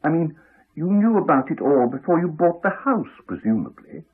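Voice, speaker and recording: A muffled 1980s radio drama recording of a courteous but crotchety English doctor. His delivery is dry and formal, with lively rises and falls and a slightly husky tone. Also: a little high. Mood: guarded. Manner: dry, formal, measured politeness. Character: crotchety, evasive, courteous male village doctor.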